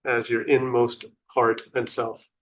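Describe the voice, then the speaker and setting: Man, meditation guide, very slow pace, flat intonation, long pauses, contemplative. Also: medium pitch. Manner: unhurried phrases with long pauses; contemplative, instructional.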